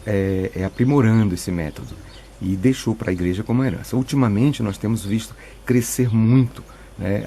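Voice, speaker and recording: A middle-aged priest from Piauí, answering interview questions thoughtfully with frequent restarts. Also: male voice, mid-range voice, warm tone, slight background noise. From Piauí, Brazil. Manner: thoughtful, many restarts and filler "né". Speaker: retreat preacher, priest.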